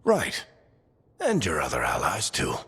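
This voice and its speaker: Wry, fatherly rogue for a game: deeply rasping, expressive swings in pitch, echoing hall. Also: measured pace, heavily acted. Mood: advising. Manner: wry, folksy gambling talk, reassuring. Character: seasoned, wry, fatherly male rogue mentor. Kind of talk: video game voice acting. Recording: long reverberant hall.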